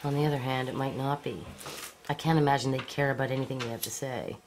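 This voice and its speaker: A very low-pitched young woman in an audio drama, tough and cynical. She talks bluntly and wryly, like a captured rebel past caring. There is clear noise behind her. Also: steady tone, quick pace. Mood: cynical, resigned. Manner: wry, blunt, profane.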